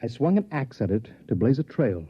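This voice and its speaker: A man's voice: mid-range voice, sing-song, brisk, mellow, slightly rough. Smug con-man villain, muffled old radio. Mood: smug, amused. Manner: smug, theatrical, taunting. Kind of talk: 1950s radio drama acting. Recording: dull vintage 1950s radio recording.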